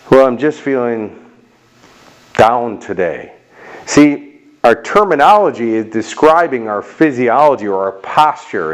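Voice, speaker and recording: A middle-aged man from Chicago with an American accent, giving a lively motivational talk and acting out short voices in his stories. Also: medium pitch. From Chicago, United States. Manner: animated storyteller, acts out little voices and jokes. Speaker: motivational speaker.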